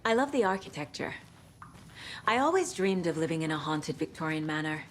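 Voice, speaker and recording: Game role: determined woman investigator, lowish voice, earnest and probing, often hushed, over noisy ambience. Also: big pitch swings, fairly quick. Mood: dry, casual. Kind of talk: video game cutscene acting with inner monologue.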